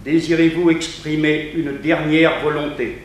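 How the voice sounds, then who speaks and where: A man's voice: fairly high pitch, fairly even intonation, measured pace, close to an everyday voice. A French man acting in a 1960s radio drama as a stern official presiding over an execution, declaiming ceremonially. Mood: solemn, stern. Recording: very echoey hall, faint noise.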